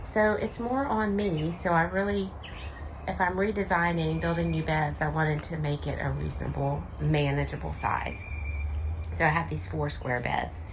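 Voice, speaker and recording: Hoarse woman rambling casually. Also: low voice, fairly even intonation, normal speed, noisy background. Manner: rambling, filler-heavy, chatty. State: hoarse, deep voice after illness. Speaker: vlogger. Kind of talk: casual chat to camera. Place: home and backyard garden.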